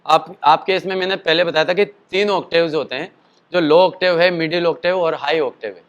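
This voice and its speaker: A man with a slightly high voice leads a casual voice lesson, explaining in a chatty, rambling way. His throat sounds tired from a show the night before. He breaks off now and then to demonstrate calls and sung notes. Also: fairly quick, dull audio. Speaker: singing and voice teacher.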